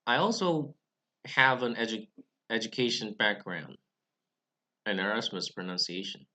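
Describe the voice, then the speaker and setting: Young guy, english not his first language, lowish bright voice, careful measured talk. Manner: careful, measured delivery with occasional restarts. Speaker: Bible study channel host. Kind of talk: scripted explanatory talk to camera.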